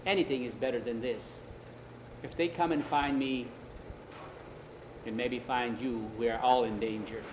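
A man voicing a timid, ragged old hermit in a storytelling session, pleading haltingly and repeating his words anxiously. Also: medium pitch, fairly even intonation, lo-fi sound, close to an everyday voice. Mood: resigned, fearful. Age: older. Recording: roomy echo, noticeable background noise.

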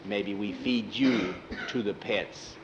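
A man voicing a big, strong, dim-witted henchman in a told story, speaking slowly in simple broken phrases. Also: on the low side, dull audio, background noise, voice pushed down. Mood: slowly defiant. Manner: slow, simple broken speech.

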